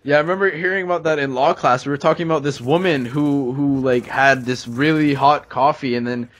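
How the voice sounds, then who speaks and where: A man's voice: medium pitch, steady tone, fairly quick. A young man hosting a commentary show, chatting casually with a guest. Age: young adult. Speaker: host of a commentary video series. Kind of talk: casual chat.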